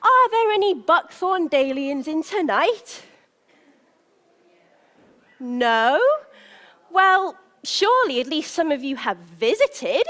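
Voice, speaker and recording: In a big echoing theatre, a chirpy young prince played by a woman addresses the crowd in a high voice. The delivery is grand and unhurried, with dramatic pauses, full of naive, lovestruck self-importance in true live pantomime style. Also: sing-song, fairly bright tone, full character voice. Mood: playfully incredulous. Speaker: stage actor. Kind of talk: live pantomime monologue to the audience. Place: large indoor theatre stage. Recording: reverberant theatre hall, live stage recording.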